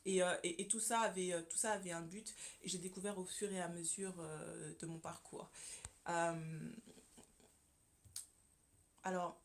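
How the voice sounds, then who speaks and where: A woman from Congo speaks as a radio guest with a fairly low voice. She talks earnestly, pausing often and repeating words as she searches for them. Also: fairly even intonation, a little hiss or hum. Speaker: guest, communication consultant. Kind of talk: radio interview, personal testimony. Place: radio studio.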